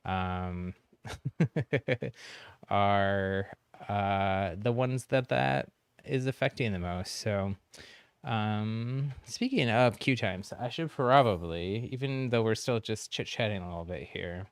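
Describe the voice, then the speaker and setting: A man's voice: on the low side, slow, smooth, no room sound. A man hosting a livestream, chatting casually with viewers in a friendly, easygoing way. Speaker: game streamer, host.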